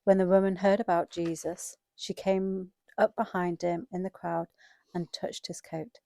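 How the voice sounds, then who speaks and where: Female scripture narrator, lowish and very mellow, scripted storytelling, dry recording. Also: little pitch movement, unhurried, gravelly, no room sound. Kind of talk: scripted dramatized Bible narration.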